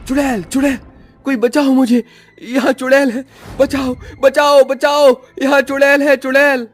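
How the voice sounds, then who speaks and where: A male narrator plays a curious, jumpy young village man in a cartoon horror tale. The voice is pushed well up high, eager and easily alarmed, with music behind it. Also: flat delivery, unhurried. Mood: terrified.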